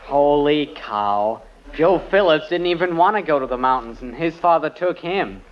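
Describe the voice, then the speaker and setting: An American male actor playing an excitable, aggrieved teenage son in an old-time radio family comedy, blurting complaints. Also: a little high, normal speed, close to an everyday voice. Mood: sheepish, protesting. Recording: dull old radio recording.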